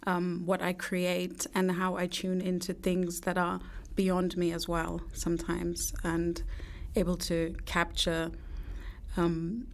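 A woman, a guest speaking reflectively in a studio interview, pausing thoughtfully. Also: fairly low pitch, completely flat delivery, gravelly. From England. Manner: reflective, measured, with thoughtful pauses. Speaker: artist and poet guest.

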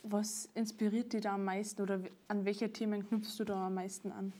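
Mellow flat-toned woman interviewer. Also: mid-range voice, brisk. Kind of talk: interview questions.

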